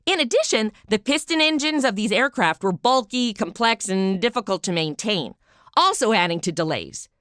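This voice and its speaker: A woman's voice: mid-range voice, expressive, normal speed, a bit bright, no room sound, clean studio sound. An American woman hosting a podcast, narrating scripted but informal history in a conversational storytelling way with wry asides. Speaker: podcast host.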